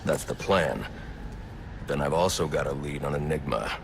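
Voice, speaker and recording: An actor playing a grim, commanding male vigilante in a video game, with a gravelly voice and a terse, stern manner. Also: very deep voice, lively intonation, normal speed, noisy background, exaggerated voice. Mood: grim, focused. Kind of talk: scripted game cutscene acting. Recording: loud music under the voice. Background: loud music.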